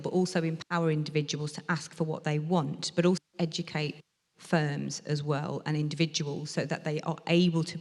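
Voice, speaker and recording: A low-pitched woman interprets a lecture into speech, measured and explanatory, pausing on the odd filler. Her voice carries with a long reverb from a lecture hall at a museum event. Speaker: sign language interpreter voicing a deaf speaker. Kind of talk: interpreting a design talk aloud.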